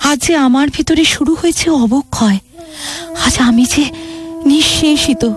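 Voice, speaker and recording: A woman playing a sorrowful, wounded motherland in a Bengali audio drama, speaking theatrically and emotively with dramatic pauses. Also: high-pitched. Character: Mother India, sorrowful wounded motherland recounting her past. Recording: dramatic music behind the voice.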